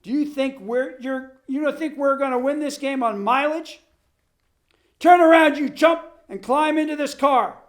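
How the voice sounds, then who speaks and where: A man's voice: very high voice, measured pace, pitched above the performer's own voice. A man voicing a blustering football captain who scolds and pleads while out of breath. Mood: angry. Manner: sputtering, scolding, then pleading.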